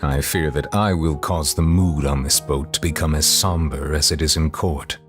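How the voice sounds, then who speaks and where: A man voicing a solemn, formal male judge in a game, speaking in a measured, dry way. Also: rumbling low, steady tone, faint music behind. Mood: grave.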